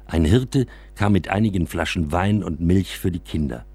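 A man reading a scripted literary story for radio as a first-person narrator, with expressive storytelling. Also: low voice, normal speed, husky, no room sound.

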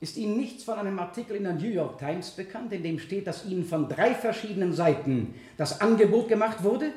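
An actor playing a stern, sardonic prosecutor in a German radio play, cross-examining with precise, insistent, pointed questions. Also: male voice, sits high, animated, a little fast, darkish tone. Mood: accusing. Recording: roomy 1970 studio radio play sound.

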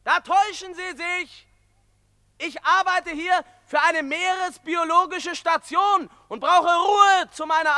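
A man's voice: very high voice, bright, forceful tone, full character voice. An actor playing a curt, secretive male scientist in a German science fiction radio drama. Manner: curt, commanding, dismissive. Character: brusque, secretive male scientist guarding a lonely atoll.

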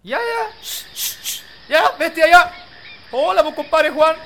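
A man's voice: very high voice, animated, measured pace, full character voice. A man playing a worried, neighborly peasant farmer with a rural Chilean accent and colloquial country talk in a radio play. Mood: hailing. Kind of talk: amateur radio play dialogue. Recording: light outdoor noise with birds and insects.

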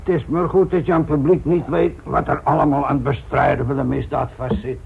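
Casting: older, biting, cynical police inspector in radio drama; gruff, sardonic, narrow-band vintage broadcast sound. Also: male voice, medium pitch, steady tone, brisk, slight background noise. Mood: dry, cynical. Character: cynical, stubborn police inspector near retirement, biting and blunt. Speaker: actor in a radio play. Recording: dull, band-limited old radio recording.

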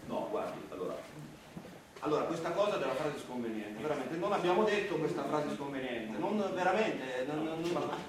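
Man as fussy cabaret actor, lively, very echoey. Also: a little high, crowd noise, natural voice. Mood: halting, explaining. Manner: halting, pedantic, repeats words. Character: fussy, exasperated, long-suffering male cabaret actor. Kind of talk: Italian comic theatre dialogue. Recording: roomy, distant stage recording with noise.

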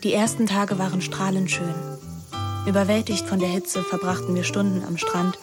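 An actress playing a frank, teasing young daughter who also narrates a radio play. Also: female voice, medium pitch, level tone, normal speed, close to an everyday voice. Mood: reflective. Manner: direct, dry, teasing. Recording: music behind the voice.

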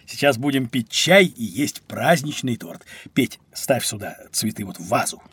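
An actor playing a kindly, cheerful writer uncle in a children's audio play, expressive and coaxing. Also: male voice, mid-range voice, normal speed, breathy edge, no echo. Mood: jovial.

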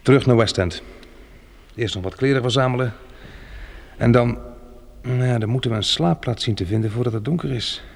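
An actor playing a resolute, practical man surviving a catastrophe in a Dutch radio play, brisk and in command. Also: medium pitch, vocal fry. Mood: practical, assured.

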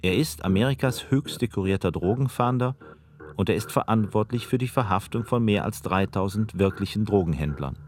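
A man reads scripted documentary narration in a fairly low voice. His delivery is pointed and ironic. Music plays clearly behind him. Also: a little fast.

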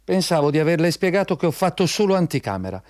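An actor in an Italian radio play playing an anxious, deferential job applicant, apologetic and eager to please. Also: male voice, on the high side, big pitch swings, fast talker, darkish tone, no room sound, clean studio sound. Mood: defensive.

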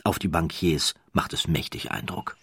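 A man reading a scripted documentary narration in a measured, deliberate way with dramatic emphasis. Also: sits low, fairly bright tone, husky, dry recording, clean studio sound.

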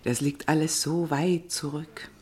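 An actress playing a cautious, gossipy society lady in a radio play, with a refined, confiding and expressive manner. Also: low-pitched, measured pace. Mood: sombre, regretful. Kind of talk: German radio play dialogue.

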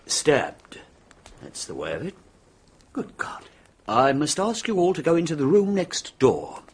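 An actor playing a blunt, steady British police superintendent in a radio drama, plain-spoken, clipped and authoritative. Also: male voice, on the high side, a little slow, noisy background. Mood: shocked, commanding.

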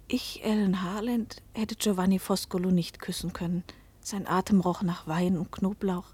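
An actress in a German radio play as a guarded, dreamy, troubled woman, breathy and introspective, with measured literary delivery. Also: medium pitch, warm tone, close to an everyday voice. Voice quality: breathy, often dropping to a whisper.

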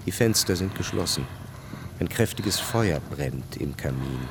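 A man giving sober, measured scripted narration for a radio drama. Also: deep voice, expressive, very noisy.

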